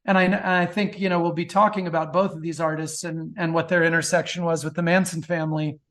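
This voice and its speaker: High-voiced man, chatty and enthusiastic. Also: flat delivery, fast talker, fairly bright tone. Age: middle-aged. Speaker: author and film producer, podcast guest. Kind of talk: casual interview talk about music.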